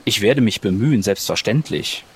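A man's voice: on the low side, normal speed, breathy edge. A man casually improvising as a player in a tabletop roleplaying game.

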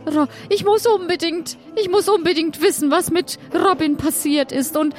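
A woman playing a desperate, coaxing young heroine who pleads haltingly in an improvised audio adventure. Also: very high voice, a little mellow, soft background music. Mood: desperate, pleading. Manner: halting, stammering.

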